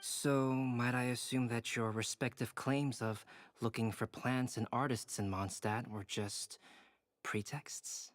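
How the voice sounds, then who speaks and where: A man playing a calm, thoughtful young intellectual in a video game, composed, refined and courteous. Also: medium pitch, slow pace, slight background noise, lightly acted. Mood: reflective. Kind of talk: scripted video game dialogue.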